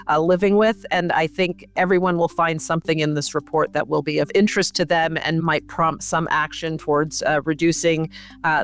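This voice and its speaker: A woman hosting a medical podcast interview, speaking in an articulate, measured and formal way. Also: lowish voice. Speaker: podcast host, medical journal editor.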